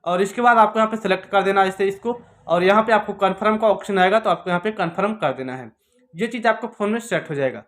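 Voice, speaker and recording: A man's voice: high-pitched, fast, fairly bright tone. A man narrating a step-by-step phone tutorial in a repetitive, instructional way. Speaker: tech tutorial presenter.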